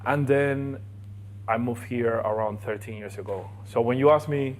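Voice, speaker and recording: A young man from Colombia, a podcast guest telling his life story in casual, animated talk. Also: medium pitch, moderate pace, slight background noise. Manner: animated, laughs while telling stories.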